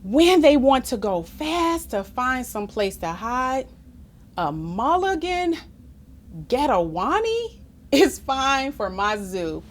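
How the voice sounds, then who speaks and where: A woman reading a children's picture book aloud expressively, engaging listeners with questions and asides in an animated manner. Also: high-pitched, slow pace. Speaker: storytime reader.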